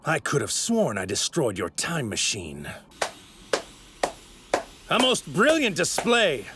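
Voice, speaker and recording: A man's voice: fairly low pitch, sing-song, normal speed, heavy background noise. A man playing an arrogant godlike villain in an English anime dub, with pompous, theatrical, formal delivery. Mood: gloating. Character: arrogant godlike male villain in a stolen warrior body.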